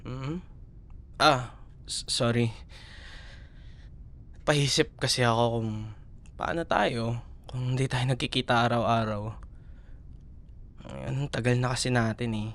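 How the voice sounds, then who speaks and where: Casting: male actor as young Filipino college boyfriend for ASMR roleplay, mid-pitched with noticeable fry, tender and teasing. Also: fairly even intonation, slow pace, long pauses, a bit bright. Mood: gentle, wistful concern. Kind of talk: ASMR boyfriend roleplay, intimate conversational acting.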